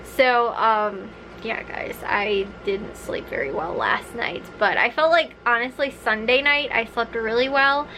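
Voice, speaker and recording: Brief: woman, 20s, daily vlogger, chatty and meandering, lots of fillers, bright tone, bedroom setting. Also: on the high side, a little slow, few pauses, a little hiss or hum, rough recording. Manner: rambling, chatty, many fillers like "like" and "um". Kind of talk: casual chat to camera. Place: bedroom, indoors.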